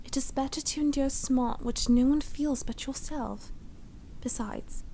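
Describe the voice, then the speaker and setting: A woman playing a patient, pious schoolgirl who speaks softly and earnestly in an audio drama. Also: sits high, measured pace, gravelly, slight background noise. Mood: calm.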